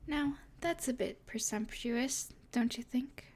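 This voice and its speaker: A woman playing a smug, teasing evil sorceress in an intimate ASMR roleplay, with a breathy edge and a haughty, mysterious manner. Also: a little high, slow pace, exaggerated voice.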